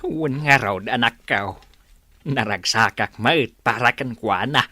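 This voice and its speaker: An actor with an Ilocano accent playing an affectionate, easily amazed older farmer husband in a radio comedy drama. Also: male voice, medium pitch, slow, sharp tone, dry recording, heavily acted. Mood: fond, pleased.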